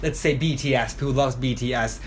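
A man's voice: mid-range voice, fairly quick, a little hiss or hum. A man in a casual conversation, thinking aloud with frequent restarts and tag questions like "right?". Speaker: conversation partner. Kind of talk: casual chat.

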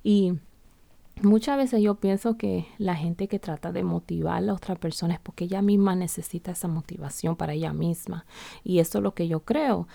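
Soft-spoken Bronx-raised woman, dark gravelly voice. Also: lowish voice, normal speed, dry recording. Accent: Dominican Spanish mixed with other Latin American accents. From United States (the Bronx). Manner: rambling, frequent restarts, softly spoken. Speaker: podcast host. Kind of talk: casual solo podcast monologue.

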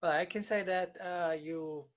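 A man interviewing a guest in a casual chat, talking haltingly with fillers and restarts. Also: high-pitched, fairly even intonation, dull audio.

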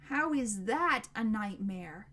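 A woman's voice: mid-range voice, unhurried, creaky, a little mellow, slightly rough. A woman voicing a wary, disbelieving boy in a storybook, with a questioning manner. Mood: sceptical.

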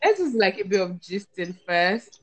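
A woman's voice: mid-range voice, big pitch swings, normal speed. A woman hosting a podcast in a chatty, playful way. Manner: chatty, playful, laughs often. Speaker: podcast host. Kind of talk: casual chat.

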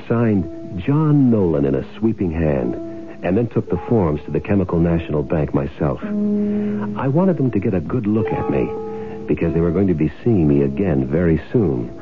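Bossy radio-drama schemer, dramatic swooping delivery. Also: male voice, sits low, sing-song, moderate pace. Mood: elated, smug. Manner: domineering, impatient, barking orders. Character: scheming, bossy, greedy husband running an insurance swindle. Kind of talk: old-time radio drama acting, first-person noir narration. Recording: muffled old radio broadcast, music behind.

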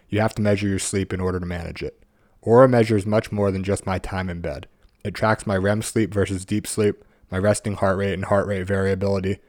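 A man hosting a podcast, reading a sponsor spot and introducing his guest in an earnest, measured way with some hesitations. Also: lowish voice, darkish tone, dry recording, clean studio sound. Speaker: podcast host. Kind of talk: ad read and interview intro.

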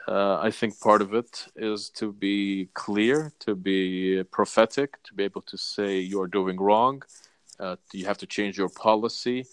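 A Palestinian man speaking as an interview guest, measured and deliberate, laying out his points one by one. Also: on the low side, fairly even intonation, smooth, no room sound. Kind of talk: earnest advocacy interview.

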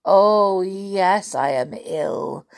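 A woman voicing a wicked old male wolf posing as a frail granny in a storybook, with coaxing, drawn-out delivery. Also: medium pitch, creaky. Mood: feeble, coaxing.

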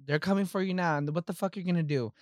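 A man's voice: high voice, fast, darkish tone, no echo, studio quality. A man hosting a casual pop-culture recap podcast, talking in sassy, emphatic slang with plenty of swearing. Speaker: podcast host.

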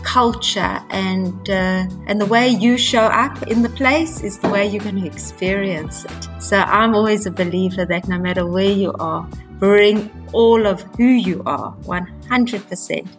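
A woman from Africa, a guest coach talking earnestly and reflectively in an interview, repeating phrases as she thinks. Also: medium pitch. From South Africa. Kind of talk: conversational interview.

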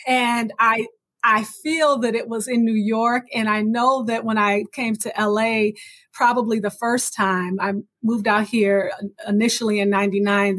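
A woman hosting a podcast interview, chatting casually and warmly affirming her guest, often repeating her words. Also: fairly high pitch. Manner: warm, affirming, repeats phrases. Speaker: podcast host.

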